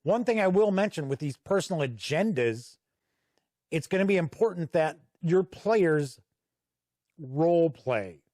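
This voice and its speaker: A man giving a casual product walkthrough as a reviewer, conversational and halting with frequent pauses. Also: on the high side, lively intonation, darkish tone, dry recording, clean studio sound. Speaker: tabletop game reviewer and host.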